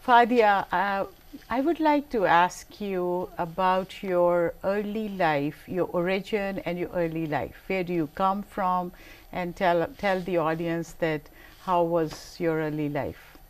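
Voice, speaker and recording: A woman hosts an interview, putting her questions in a formal, deliberate way. Her voice is on the lower side. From Pakistan. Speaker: talk show host. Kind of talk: hosting an interview.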